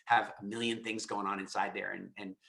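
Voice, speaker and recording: A man's voice: fairly low pitch, flat delivery, brisk, vocal fry, bright, forceful tone, echoey room. A man hosting a live call, answering a caller in an emphatic way, often repeating himself and restarting his sentences. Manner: emphatic, repetitive, many restarts. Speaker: host. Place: lives in Estonia.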